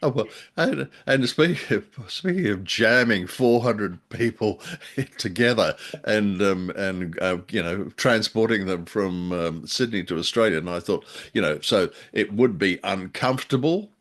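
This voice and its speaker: A man's voice: lowish voice, animated, measured pace, fairly bright tone. An older man co-hosting a podcast in casual, unscripted discussion, rambling and jokey, repeating words for emphasis. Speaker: podcast co-host.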